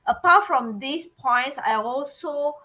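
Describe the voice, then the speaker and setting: Bright-voiced Tamil-speaking woman at a panel. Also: high voice, animated, slow. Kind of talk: personal panel talk.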